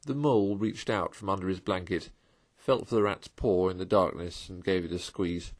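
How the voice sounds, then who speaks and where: A man reading a classic children's novel aloud in a measured, expressive way. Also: deep voice. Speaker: audiobook reader. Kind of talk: amateur storybook read-aloud.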